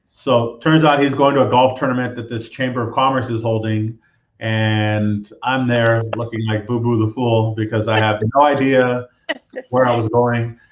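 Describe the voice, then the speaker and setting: A man from Southern California, a guest telling his background in a relaxed, roundabout way. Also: mid-range voice, moderate pace, reverberant, highs cut off, slight background noise. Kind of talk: casual interview storytelling.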